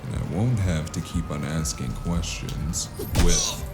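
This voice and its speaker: A man's voice: rumbling low, a little slow, loud music behind the voice. A man playing a weary, sardonic tough fixer for hire in a cyberpunk audio drama, with a dry, laconic delivery. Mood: menacing. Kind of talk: scripted audio drama with hardboiled narration.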